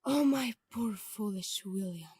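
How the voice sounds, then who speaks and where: A woman's voice: mid-range voice, unhurried, a little mellow, breathy edge, no echo. An actress playing an ironic, amused woman who teases in a condescending way, in an audio drama. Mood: ironic amusement. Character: ironic, amused woman mocking a painter friend.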